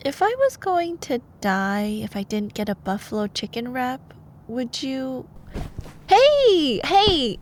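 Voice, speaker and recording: A woman in an ASMR bedtime storytelling style, expressive and playful, like a girlfriend reading aloud. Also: high-pitched, a little hiss or hum.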